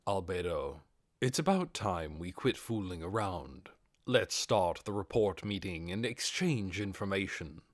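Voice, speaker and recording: A man's voice: sits low, lively intonation, measured pace, no room sound. Audiobook role: undead male ruler, awkward and hesitant under a businesslike front, performed by a male reader. Dry sound. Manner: hesitant, sighing, then businesslike. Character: awkward, flustered undead male overlord with secret self-doubt.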